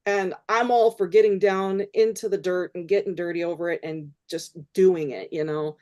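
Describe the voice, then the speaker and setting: A woman guest in a casual interview, speaking haltingly with frequent restarts and fillers. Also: lowish voice, fairly even intonation, a little mellow.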